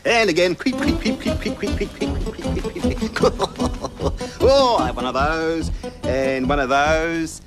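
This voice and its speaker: A sneaky, giggly little sock-thief creature from a children's show. The voice is fairly high and swoops about playfully in a slow, repetitive sing-song. It is timid and mischievous, with music clearly playing behind it. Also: male voice, expressive. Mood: gleeful, sneaky. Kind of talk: children's programme character acting.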